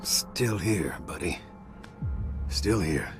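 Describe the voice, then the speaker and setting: An actor playing a grim, defiant American football player, narrating a game story in a brooding, hard-boiled way. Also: male voice, deep voice, drawn-out words, over music. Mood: weary, grim. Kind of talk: scripted noir-like game narration.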